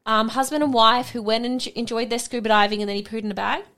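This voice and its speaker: A woman with a high voice co-hosts a casual podcast. She tells listener stories in a chatty, giggly way, bright and bubbly in pitch. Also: fairly even intonation, fast. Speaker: podcast co-host. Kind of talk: casual chat.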